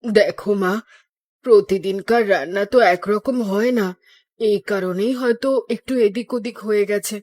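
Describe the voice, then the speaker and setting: A woman's voice: medium pitch, moderate pace, darkish tone, lightly acted. A woman playing a mild older mother in a Bengali cartoon, speaking in a placating, defensive way.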